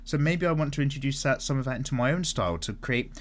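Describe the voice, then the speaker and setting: Lively man chatting while drawing. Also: medium pitch, quick pace. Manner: rambling, friendly, casual swearing, lots of fillers. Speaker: art channel host. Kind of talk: casual chat while sketching, reading comments.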